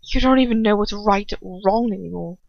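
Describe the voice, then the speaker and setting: A woman's voice: medium pitch, fairly quick, vocal fry, dry recording, dull audio, close to an everyday voice. A woman voicing an anguished, betrayed young man with bitter, pained delivery in a story reading. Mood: bitter, demanding.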